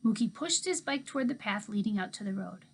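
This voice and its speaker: A woman teacher reading a children's novel aloud to her class with expressive storybook delivery. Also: medium pitch, fairly quick.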